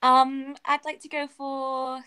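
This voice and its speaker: A woman contestant talks casually in a quiz. Her high voice is bright and crisp. She answers hesitantly, taking her time over each phrase. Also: level tone, slow pace.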